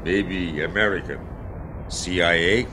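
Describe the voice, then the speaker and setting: A man playing Nikolai, a calm and formal-speaking man who avoids contractions. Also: sits low, takes it slowly, noisy background. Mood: steady. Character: calm, stiff-spoken man named Nikolai. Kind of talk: amateur audio drama.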